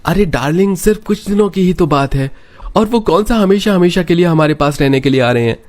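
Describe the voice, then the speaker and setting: A man's voice: sits high, animated, brisk, pitched above the performer's own voice. A man voicing a placating grown son and city husband in a cartoon story, with a pleading manner.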